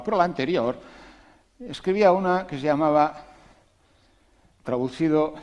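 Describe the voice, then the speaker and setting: A man giving a public talk to an audience on education, animated and emphatic, asking rhetorical questions and making conversational asides. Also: mid-range voice. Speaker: invited speaker on education.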